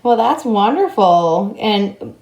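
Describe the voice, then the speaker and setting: A woman's voice: medium pitch, very animated, slow pace, darkish tone. A woman asking questions as the interviewer in a casual, conversational interview. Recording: echoey room sound.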